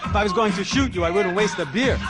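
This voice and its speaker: An actor playing a shrewd, genial police investigator with a Brazilian accent, talking in a wry, unhurried way in a game scene. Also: male voice, sits high, natural voice. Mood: genial, teasing. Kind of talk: cinematic game dialogue. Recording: music behind the voice.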